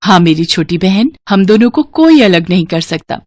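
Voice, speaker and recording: A woman's voice: lowish voice, sing-song, normal speed, a little mellow, dry recording, clean studio sound. A woman voicing a practical married elder sister, firm and earnest, in a storybook tale. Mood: reassuring.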